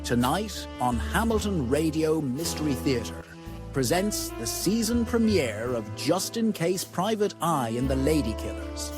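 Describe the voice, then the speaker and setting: Brooding mystery narrator, scripted noir storytelling, fairly high male pitch, clear music underneath. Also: sing-song, a little slow. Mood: suspenseful.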